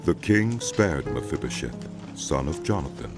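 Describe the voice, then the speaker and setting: A man's voice: extremely low pitch, sing-song, a little slow. A man narrating dramatized scripture in a solemn, storytelling manner. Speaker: Bible narrator. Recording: music behind the voice. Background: background music.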